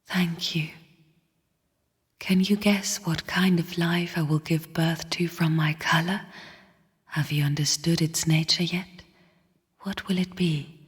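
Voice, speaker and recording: Breathy, whispering spirit of the void. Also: female voice, lowish voice, fairly even intonation, heavily acted. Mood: wistful, solemn. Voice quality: breathy, hushed half-whisper. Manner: slow, solemn, cryptic. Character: somber, bitter sister spirit of the void, guarding her chambers. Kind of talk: video game character voice lines. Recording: long cavernous reverb.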